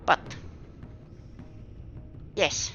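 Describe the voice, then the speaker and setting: Finnish-accented woman streamer, halting, hunts for words, slow and lively, dull lo-fi audio. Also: soft background music. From Finland. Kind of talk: casual live-stream chat while gaming.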